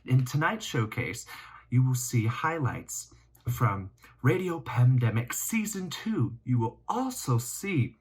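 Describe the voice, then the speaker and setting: A student host speaks in a playful, theatrical way with a lot of giggle in it. The voice is husky and swings widely in pitch as it chats to the audience. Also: big pitch swings, takes it slowly, darkish tone. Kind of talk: chatty showcase introduction.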